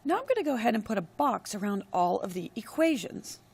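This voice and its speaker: Hoarse woman teaching a math lesson. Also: fairly high pitch, fairly quick. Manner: steady, step-by-step explaining with frequent "all right, go ahead". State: losing her voice, hoarse. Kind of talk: screen-recorded lesson.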